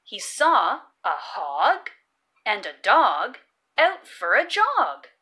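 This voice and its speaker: A woman reading a children's picture book aloud and teaching phonics, expressive and deliberate, with sing-song emphasis on key words. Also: mid-range voice. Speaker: children's read-aloud narrator.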